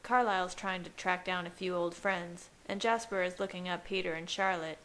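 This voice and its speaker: Woman reading as a gentle, protective young male vampire. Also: lowish voice, fairly even intonation, moderate pace, lightly acted, poor audio. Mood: gentle, measured. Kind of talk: audiobook character voice. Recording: hissy home recording.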